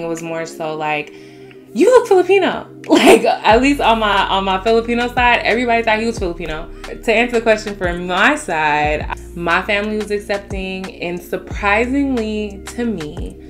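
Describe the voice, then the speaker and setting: Animated young woman chatting. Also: fairly low pitch. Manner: animated storyteller, laughs and curses freely. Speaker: co-host of couple channel. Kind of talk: casual couple Q&A chat.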